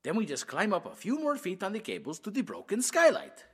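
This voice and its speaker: A husky, very animated voice, a performer's, playing a scrappy young survivor in a zombie audio drama. The delivery is wry and blunt, leaping in pitch. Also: a little fast, exaggerated voice. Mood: sardonic. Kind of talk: amateur audio drama acting.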